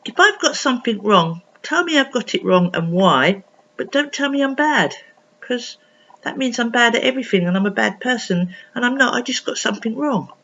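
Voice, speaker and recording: Woman as a timid, gentle spirit of calm. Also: a little high, moderate pace, highs cut off. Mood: earnest, timid. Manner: explaining feelings simply and earnestly.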